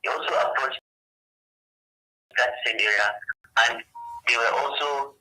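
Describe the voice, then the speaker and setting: A man with a Nigerian accent answering interview questions as a police spokesman over a remote call, haltingly and often repeating phrases. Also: mid-range voice, pushed and bright, breathy edge, poor audio. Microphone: remote call to the station.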